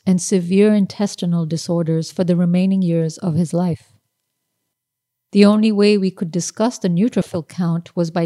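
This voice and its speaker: A woman reads her own short story aloud, measured and unhurried. Her voice is low and mellow, with a soft, rounded tone. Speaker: author reading her story. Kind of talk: literary reading aloud.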